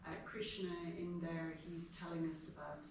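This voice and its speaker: A middle-aged Irish woman gives an informal devotional talk, explaining things in a measured way. She sounds set back from the microphone in a very reverberant room. There is clear noise on the recording. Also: sits low, level tone. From Ireland. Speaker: guest speaker giving a devotional class. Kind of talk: informal spiritual lecture. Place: house gathering room, indoors. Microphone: distant from the speaker. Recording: echoey room, distant mic, noisy.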